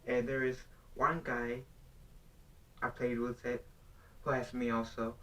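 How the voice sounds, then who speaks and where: A young man talking off the cuff into his own recording, halting and earnest as he circles his point, often breaking off and restarting. His voice sits at an ordinary middle pitch. He sounds set back from the microphone, a little distant in the mix. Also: level tone, a bit bright. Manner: halting, rambling, earnest questioning. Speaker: vlogger. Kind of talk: unscripted talk to camera. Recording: voice somewhat distant from the mic.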